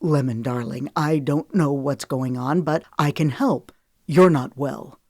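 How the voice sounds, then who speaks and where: A woman's voice: a bass voice, fairly even intonation, moderate pace, a little mellow, dry recording, studio quality. A woman voicing a manipulative man who speaks in a falsely soothing, condescending tone.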